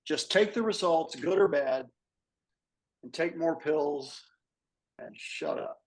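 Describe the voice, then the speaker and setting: Husky 60s guy sharing his story, earnest, giving advice straight to the listener. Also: on the high side, slow. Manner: earnest, advising listeners directly. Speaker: patient panelist. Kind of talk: sharing a personal story on a video panel.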